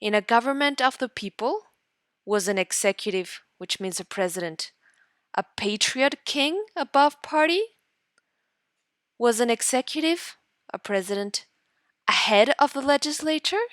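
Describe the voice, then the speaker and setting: A woman teacher reading aloud for students in an instructional way, deliberately and in short guiding phrases. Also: a little high, lively intonation, fairly bright tone, no echo, clean studio sound. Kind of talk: instructional read-aloud lesson narration.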